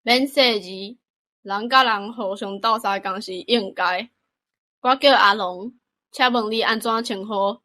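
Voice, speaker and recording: A woman's voice: medium pitch, a little slow, sharp tone. A woman acting a kind, cheerful young country lad in a radio drama, with a Taiwanese accent and an eager, caring manner. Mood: friendly.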